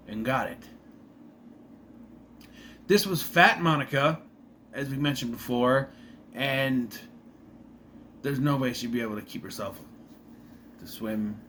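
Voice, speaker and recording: A man's voice: medium pitch, a bit bright, a little hiss or hum. Rambling man recapping a sitcom episode. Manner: rambling, self-correcting, opinionated asides; briefly mimics characters while retelling. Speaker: show recap vlogger.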